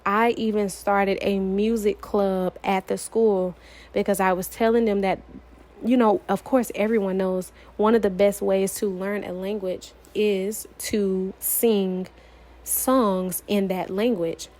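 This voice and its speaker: A woman's voice: mid-range voice, fairly even intonation, slow, a little mellow. A young woman from Florida, sharing her personal testimony in an earnest, emphatic way, repeating phrases to drive them home. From United States, Florida.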